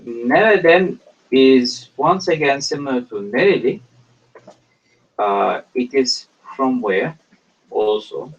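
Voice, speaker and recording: A man teaching a language lesson, explaining step by step in a hesitant, halting way with many pauses. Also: mid-range voice, animated, slightly rough. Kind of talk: slow explanatory lecture. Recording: dull lo-fi recording.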